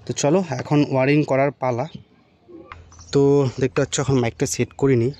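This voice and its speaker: A man's voice: mid-range voice, flat delivery, a little fast, a little mellow. A man giving a casual, improvised hands-on tutorial to viewers, talking informally as he works. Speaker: motorcycle vlogger presenting an unboxing and installation. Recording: clear background ambient noise. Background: background noise.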